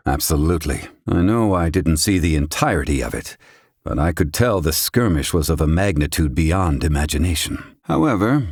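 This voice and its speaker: A proud, gruff but kindly older mage from a fantasy video game. His voice sits low and speaks in stately, bookish sentences that often end on a clipped questioning tag. The sound is dry, with no room around it. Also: no echo. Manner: formal, wordy, archaic phrasing with clipped questioning tags. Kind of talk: English-dub video game voice acting.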